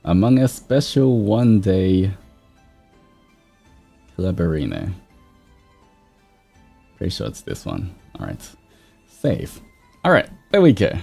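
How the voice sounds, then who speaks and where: A man's voice: deep voice, big pitch swings, slow pace, vocal fry, long pauses, mellow, slightly rough. A man hosting a livestream, chatting casually to his viewers in a laid-back, rambling way. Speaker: livestreamer, VTuber host. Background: background music.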